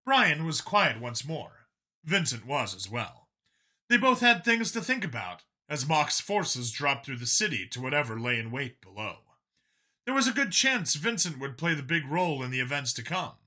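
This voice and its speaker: A man's voice: on the high side, sing-song, moderate pace, bright, forceful tone. A man reading scripted fiction as an audiobook narrator.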